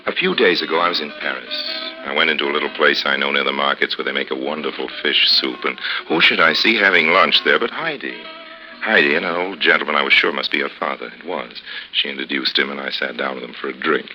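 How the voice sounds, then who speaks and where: An actor playing a worldly, charming con artist with a wry, suave, teasing manner in old 1950s radio drama. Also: male voice, rumbling low, fast, bright, forceful tone, soft background music, heavily acted, rough recording. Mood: relaxed storytelling. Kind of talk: 1950s radio drama, first-person storytelling and dialogue.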